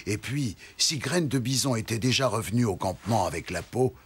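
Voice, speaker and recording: A man playing a calm, wise and kindly father with a husky voice in a French cartoon. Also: fairly low pitch, a little fast, heavily acted. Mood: calm, encouraging.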